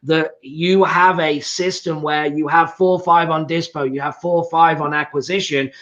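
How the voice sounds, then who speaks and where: A man's voice: a little high, fairly even intonation, moderate pace, fairly bright tone. A man co-hosting a live panel, explaining his approach and checking in with "right?" after his points. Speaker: co-host real estate investor. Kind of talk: casual live panel chat. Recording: dull lo-fi sound.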